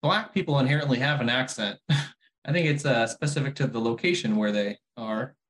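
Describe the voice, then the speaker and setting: A man's voice: medium pitch, moderate pace, a bit bright. A young adult man co-hosting a casual podcast, opinionated and wry, often breaking into laughter. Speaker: podcast co-host.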